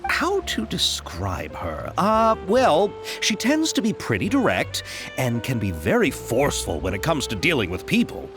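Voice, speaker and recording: A chatty, courteous producer. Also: male voice, medium pitch, wildly swooping pitch, measured pace, poor audio. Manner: courteous, wordy, given to nervous laughs. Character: polite, eager young male film producer. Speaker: game character. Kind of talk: video game voice acting. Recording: game audio with soft music.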